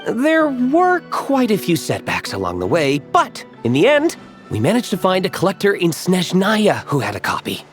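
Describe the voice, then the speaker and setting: An eager, courteous guild clerk in a video game, with a high male voice. His delivery is deferential and very animated, over faint music. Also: normal speed. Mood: polite, businesslike. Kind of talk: English video game voice acting.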